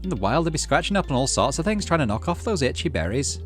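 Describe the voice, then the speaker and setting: A man's voice: a little high, very animated, fast talker, faint music behind, voice pushed up. A man voicing a cheerful, folksy farmer dad in a visual novel, chatting and explaining things to his child. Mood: enthusiastic. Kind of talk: visual novel character read aloud.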